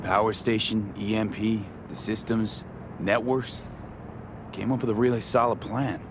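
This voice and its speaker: Low, dry-witted mercenary man. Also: steady tone, measured pace. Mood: amused. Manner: dry, clipped, wry. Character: laconic, dryly joking male mercenary. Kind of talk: video game dialogue acting. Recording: vehicle and outdoor noise behind.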